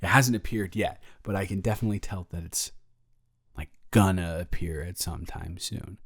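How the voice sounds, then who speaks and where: A young man playing a caring, flustered roommate in an intimate roleplay audio, rambling and stammering as he gently reassures a friend. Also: low-pitched, normal speed, slightly rough, no room sound, clean studio sound, full character voice. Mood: apologetic, tender. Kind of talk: improvised intimate roleplay audio, one-sided conversation.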